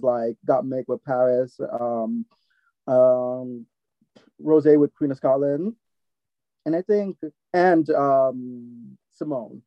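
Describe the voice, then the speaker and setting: A man with a Jamaican accent in casual chat, retelling his reactions in an animated way, on a slightly lo-fi recording. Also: mid-range voice, no room sound.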